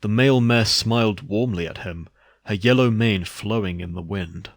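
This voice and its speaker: A man narrates a dark horror tale as a scripted reading. His voice sits fairly low, and the sound is dry with no room around it. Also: normal speed, no echo.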